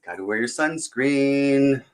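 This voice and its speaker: A man's voice: mid-range voice, expressive, slow pace. A man reading a picture book aloud as a children's story time host, adding chatty, encouraging asides to young listeners.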